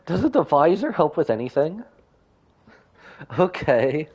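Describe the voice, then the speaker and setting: A man's voice: a little high, very animated, slow pace, darkish tone, breathy edge. A man giving casual live gameplay commentary as a streamer, thinking aloud and reacting with exclamations.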